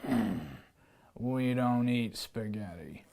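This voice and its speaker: A man voicing a stern male lion who teaches lessons, speaking low, slow and unimpressed. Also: gravelly, a little mellow.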